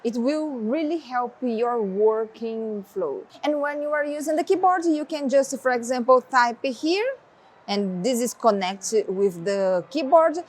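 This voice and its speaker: A woman whose first language is Portuguese, casually demonstrating a tablet's features step by step. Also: fairly high pitch. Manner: relaxed, explaining step by step, non-native phrasing. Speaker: tech reviewer. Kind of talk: casual product demo.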